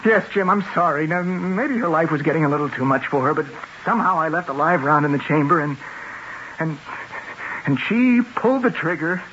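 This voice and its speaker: A man's voice: a little high, lively intonation, brisk, a bit bright. An actor playing a cornered male politician in a radio drama, defensive and faltering, stumbling over repeated words. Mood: pleading, confessing. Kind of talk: 1970s radio drama acting. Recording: muffled radio recording, faint noise.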